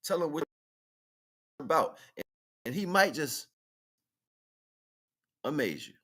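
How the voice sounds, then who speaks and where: A man's voice: on the high side, wildly swooping pitch, slow, pushed and bright. A man talking casually and informally as the host of an online livestream, rambling and colloquial as he addresses his listeners.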